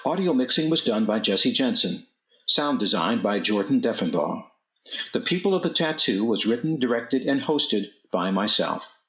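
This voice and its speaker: A man hosting a radio theatre show, reading scripted narration in a measured, dramatic presenter's manner. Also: medium pitch, sing-song, a bit bright. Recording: roomy, echoing voice recording.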